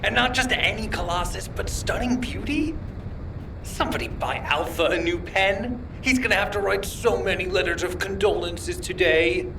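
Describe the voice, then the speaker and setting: A man's voice: sits high, normal speed, gravelly, background noise. A man playing a snide, moody scientist in a video game, sarcastic, dismissive and theatrical. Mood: sardonic glee. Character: snide, moody, irritable male scientist who dropped out. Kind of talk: video game cutscene acting.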